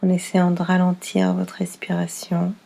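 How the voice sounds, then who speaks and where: A woman leading a guided yoga nidra relaxation as instructor, soothing and unhurried, speaking in short phrases with pauses. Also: on the low side, completely flat delivery, creaky, dark tone.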